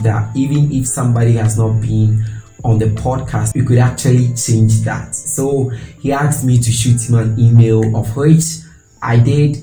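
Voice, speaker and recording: A man's voice: medium pitch, warm tone, reverberant, rough recording. A young man from Ghana with a Ghanaian English accent, telling his freelance story to camera on a lapel mic with earnest hand gestures. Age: young adult. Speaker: freelance designer and video creator. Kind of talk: casual talking-head video. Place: small home room with shelves. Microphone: clip-on lapel mic.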